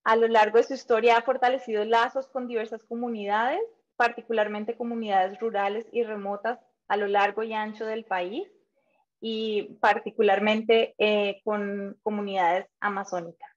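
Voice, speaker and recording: A woman's voice: mid-range voice, flat delivery, moderate pace. A woman moderating a formal panel event, introducing the organization in a fluent, polished way over a video call. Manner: polished, fluent, scripted-sounding. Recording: dull lo-fi sound.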